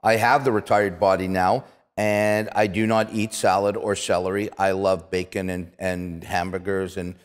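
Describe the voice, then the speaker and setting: A Canadian man in his fifties hosting a casual interview, telling stories in an animated, emphatic way and repeating words for punch. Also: low-pitched, moderate pace, clean tone. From Toronto, Canada. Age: 50s. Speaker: interview show host, retired athlete. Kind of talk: casual conversational storytelling. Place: small office-like studio set, indoors. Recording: echoey room with long reverb.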